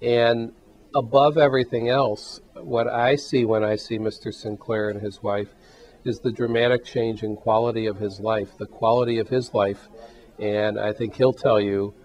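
An older man, a heart failure physician, explaining things in a measured way in a hospital exam room. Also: on the low side, steady tone, a little mellow. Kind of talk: interview testimonial. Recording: slightly dull sound.

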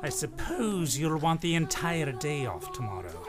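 A man's voice: fairly high pitch, wildly swooping pitch, measured pace, music in the background. An actor playing a cold-hearted old miserly businessman, curt and scornful, in an old-time radio drama style. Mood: grudging, irritated.

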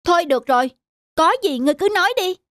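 A woman's voice: very high-pitched, animated, quick pace, heavy background noise. A woman voicing a greedy, lazy male cat in a children's cartoon, bossy and scornful. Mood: stern.

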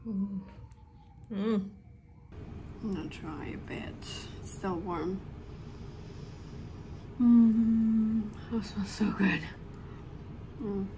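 A woman in her thirties chatting casually and haltingly to a handheld camera in a hotel room, as a travel vlogger. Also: medium pitch, steady tone, dark tone. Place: small indoor hotel room. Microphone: phone or camera at arm's length. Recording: noticeable background noise.

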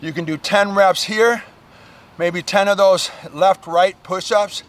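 A man's voice: high voice, slow pace. A man in his forties, a fitness coach demonstrating a workout outdoors at a park playground, talking energetically with casual slang.

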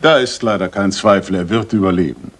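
An actor playing a detective's loyal companion, an earnest and good-natured doctor, in a 1980s German radio drama. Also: male voice, low voice, a little fast, husky. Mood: concerned. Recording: slightly noisy retro radio recording.

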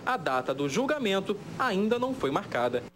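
Young reporter reading the news, high voice that bounces around a lot, light hiss. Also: male voice, very animated, a bit bright. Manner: measured, formal reporting. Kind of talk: scripted news voice-over.

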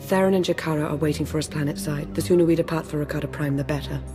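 An actress voicing a composed female intelligence agent in a video game, measured and poised. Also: low-pitched, fairly even intonation, natural voice. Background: game music.

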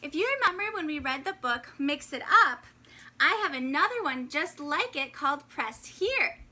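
A woman in her thirties doing an animated, exclaiming, encouraging read-aloud of a children's picture book. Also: high-pitched, normal speed. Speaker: teacher reading story time for children. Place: indoors, in front of a fabric backdrop.

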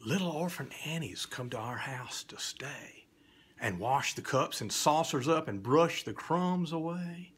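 A middle-aged man reciting a children's poem like a spooky storyteller, swinging between hushed whispers and loud cries. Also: a little high, breathy edge. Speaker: storyteller reading children's poetry. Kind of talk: dramatic theatrical poetry recitation for children.

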